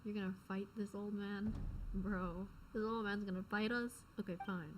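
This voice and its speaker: A woman's voice: medium pitch, fairly even intonation, normal speed, slight background noise, low-quality recording. A woman streamer giving casual, informal reaction commentary on a video game, with slangy interjections. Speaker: gaming streamer reacting to gameplay. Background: video game audio.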